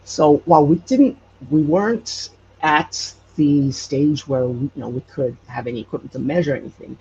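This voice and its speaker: A man from Hawaii telling stories casually as an interview guest, halting and searching for words as he goes. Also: a little high, a little mellow. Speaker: podcast guest telling local legends. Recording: dull lo-fi audio, faint noise.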